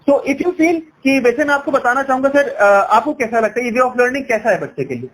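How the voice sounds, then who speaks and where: High-pitched man making a persuasive sales pitch. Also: expressive, moderate pace, fairly bright tone. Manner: persuasive, explanatory, frequent "right?" tag questions; switches between Hindi and English. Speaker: education sales counselor. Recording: noisy sales call recording.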